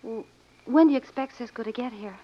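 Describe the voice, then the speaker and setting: Anxious young sweetheart played by an actress, muffled recording. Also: female voice, a little high, a little fast, close to an everyday voice. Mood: tender, worried. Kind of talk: old-time radio drama acting. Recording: muffled, noisy old radio recording.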